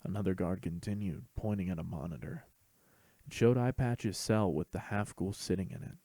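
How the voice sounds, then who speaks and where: A man's voice: deep voice, moderate pace, dark tone, no room sound. A man reading a scripted fan fiction story as host and narrator.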